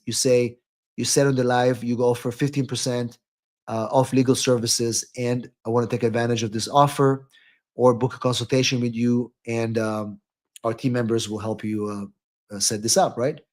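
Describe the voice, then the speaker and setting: A man's voice: medium pitch, level tone, moderate pace. A man speaking off the cuff as an attorney hosting a live call-in stream, often repeating words and tagging sentences with "right?".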